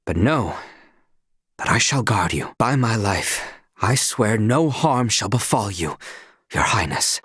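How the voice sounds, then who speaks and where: A stoic, loyal knight speaks in formal, courtly phrases. His pitch sits in the middle but moves with plenty of life. The game recording is close and dry, with no room around it. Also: fairly bright tone, husky, no room sound, exaggerated voice. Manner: formal, courtly old-fashioned speech. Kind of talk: video game voice lines. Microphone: close studio mic.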